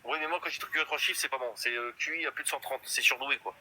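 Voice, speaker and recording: A man's voice: mid-range voice, fast, bright, forceful tone, poor audio. A man in his fifties in a casual live chat, boastful and rambling, full of hesitations. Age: 50s. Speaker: guest in a live stream chat.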